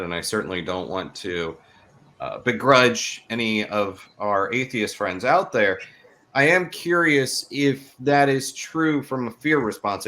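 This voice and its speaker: A man with a fairly low voice hosts a podcast interview. He talks conversationally, musing aloud and taking a roundabout path to his questions. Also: fairly bright tone. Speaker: podcast host.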